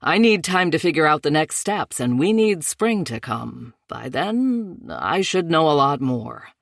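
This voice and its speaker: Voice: medium pitch, very animated, unhurried, vocal fry, dry recording, studio quality. A narrator voicing a stressed male lawman who keeps his frustration in check. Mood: firm. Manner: firm, controlled frustration. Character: stressed male sheriff, weary and guarded.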